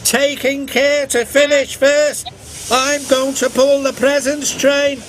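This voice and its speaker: A man voicing an impatient, boastful young male steam engine in a storybook, with a high, strained voice. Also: flat delivery, takes it slowly, slight background noise. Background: background music.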